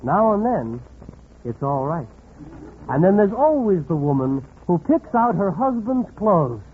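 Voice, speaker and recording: An American actor playing a wry, friendly comic narrator who addresses the listener directly in 1940s radio comedy style. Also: male voice, high voice, big pitch swings, measured pace. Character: wry friendly observer of married life. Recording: thin, muffled, noisy 1940s radio.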